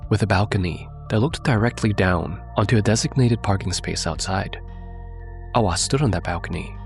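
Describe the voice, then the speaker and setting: A man with a low, gravelly voice tells a scary story as host of his own show, his nose stuffed up from a cold. He speaks in a measured, suspenseful way and talks straight to his listeners, with soft music underneath. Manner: measured, suspenseful delivery, addressing viewers directly. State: congested from a cold. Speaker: narrator and host. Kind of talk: scripted horror storytelling.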